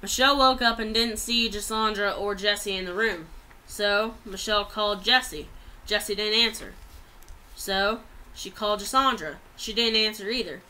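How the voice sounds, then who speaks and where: A woman's voice: mid-range voice, normal speed, bright, forceful tone, a little hiss or hum, rough recording. A young adult woman reads a written story aloud plainly, stumbling over some words, in a small room at home on a laptop microphone. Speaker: amateur writer reading own story.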